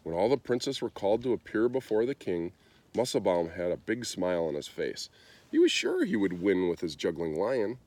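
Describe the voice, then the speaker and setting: A man reading a children's story aloud in an expressive storytelling style. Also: sits low, moderate pace. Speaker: storybook reader.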